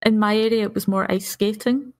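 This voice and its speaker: A woman's voice: medium pitch, steady tone, a little fast, a little mellow. A woman in her thirties talking casually as a player on a podcast. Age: 30s. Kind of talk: casual chat.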